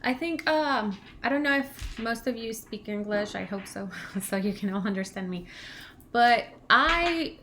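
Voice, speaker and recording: A woman's voice: on the high side, unhurried, a little hiss or hum. A woman in her thirties from Venezuela with a Venezuelan Spanish accent, whose first language is Spanish, chatting casually and rambling on a livestream as a language channel co-host. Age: 30s. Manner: chatty, rambling, laughs often, grows animated when ranting.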